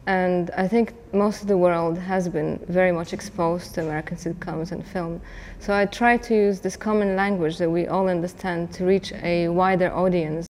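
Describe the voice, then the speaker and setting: Palestinian-born woman artist, low even delivery, hesitant interview talk, slight room noise. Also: moderate pace, hardly any pauses. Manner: hesitant, many fillers and restarts. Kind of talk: documentary interview.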